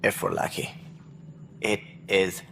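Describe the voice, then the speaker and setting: An actor playing an officious alien station director in a video game, speaking precisely and formally with a wry, earnest streak. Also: male voice, low-pitched, flat delivery, slow pace, creaky, fairly bright tone, a little hiss or hum, exaggerated voice. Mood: wry, exasperated. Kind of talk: video game dialogue acting.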